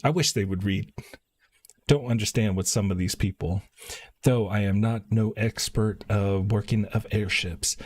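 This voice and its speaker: A man doing casual live-stream game commentary, muttering to himself and sometimes reading dialogue aloud haltingly. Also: lowish voice, darkish tone, breathy edge, dry recording.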